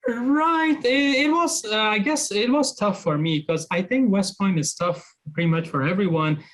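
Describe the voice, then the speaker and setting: Guy from afghanistan, guest on an interview, halting, lots of restarts and uh's. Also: high-pitched, fairly bright tone. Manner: halting, many restarts and fillers. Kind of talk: casual interview.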